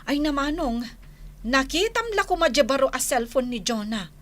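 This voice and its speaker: An excitable, tattling woman of the household in an Ilocano radio drama. Her voice is extremely high and bright, with animated swoops and a gossipy, exclamatory Ilocano-accented delivery. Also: moderate pace. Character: excitable, tattling female relative fussing over the household. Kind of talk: Filipino radio drama acting.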